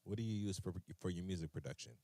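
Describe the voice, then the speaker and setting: A man's voice: low voice, fast, dark tone, no echo, clean studio sound. A man hosting a music interview in an informal, slangy way, repeating phrases for emphasis. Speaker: music show host, interviewer. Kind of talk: casual interview chat.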